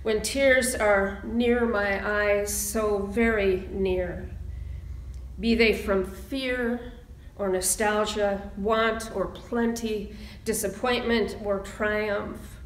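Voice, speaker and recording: A woman minister speaking in a measured, deliberate sermon style, pausing for emphasis as she reads and preaches. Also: mid-range voice, steady tone, slight background noise. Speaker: minister leading a church service. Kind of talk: sermon and slow, solemn readings. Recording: roomy, echoing sound.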